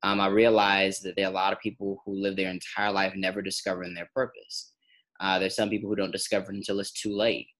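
A man's voice: deep voice, level tone, fast talker, fairly bright tone, low-quality recording. A young man in his twenties, a pastor, answering interview questions earnestly with repetitive phrasing. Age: 20s.

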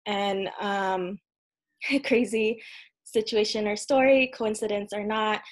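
A woman in her thirties, a podcast guest, telling a personal story in an earnest, casual way with frequent ums and soft laughs. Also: mid-range voice, fairly even intonation, slow. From United States. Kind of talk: casual interview conversation.

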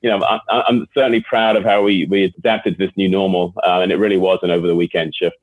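An Englishman with a low, slightly husky voice speaks in an interview, often doubling words as he restarts his sentences. He comes through a thin, phone-like line with no room sound at all. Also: flat delivery, fast, no room sound. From England. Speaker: guest executive. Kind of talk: interview answers.

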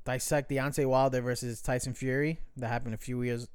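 A man's voice: medium pitch, little pitch movement, a little fast, darkish tone, clean tone, no room sound. A man in his thirties from New York co-hosting a casual podcast, talking loosely and swearing as he tells stories. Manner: swearing, loose storytelling with impressions. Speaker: podcast co-host. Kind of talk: casual chat.

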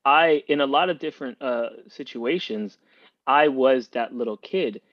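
A man born in Cuba talks loosely as a guest, circling back on his words with plenty of fillers. His voice sits at an average pitch and comes through a dull, muffled remote line. The sound is dry, with no room around it. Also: a little slow, no room sound. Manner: rambling, many restarts and fillers. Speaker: podcast guest. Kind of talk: casual interview talk.

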